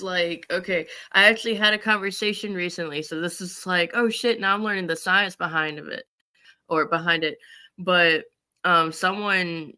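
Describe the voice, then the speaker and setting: A bright, smooth mid-pitched voice in casual conversation on a talk show. The speaker rambles through personal stories and acts out bits of past conversations.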